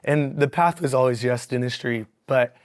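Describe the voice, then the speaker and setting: A young man talks in a relaxed, upbeat way, his voice hoarse and raspy from a weekend of yelling. He keeps the tone casual and full of little fillers, in a middle register. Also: mid-range voice, normal speed. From Missouri Ozarks. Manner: upbeat, animated, many fillers. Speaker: dentist guest. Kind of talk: casual interview.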